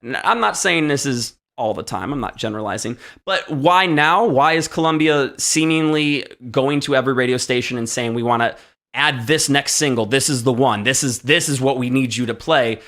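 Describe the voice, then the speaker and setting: A man with a bright, forward voice at an ordinary male pitch, talking as a show host. He explains things conversationally and with emphasis, often stopping to check that the listener follows. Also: moderate pace. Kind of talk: conversational music industry commentary.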